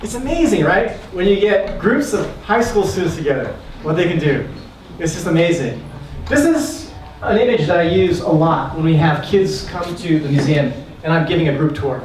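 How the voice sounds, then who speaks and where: A man's voice: fairly high pitch, a little mellow. A man hosting an event, presenting to an audience in an upbeat, encouraging way and laughing often. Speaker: event host. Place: event hall. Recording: echoey hall, distant mic, faint noise.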